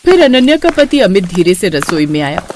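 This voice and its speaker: A woman's voice: on the low side, sing-song, moderate pace, a little mellow, slight background noise. A woman reading scripted narration as the storyteller of a story.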